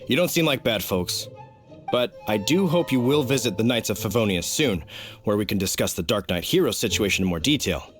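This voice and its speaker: An actor playing an earnest, courteous young knight on guard duty in a video game. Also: male voice, on the low side, animated, a little fast, faint music behind, close to an everyday voice. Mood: puzzled, polite. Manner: polite, earnest.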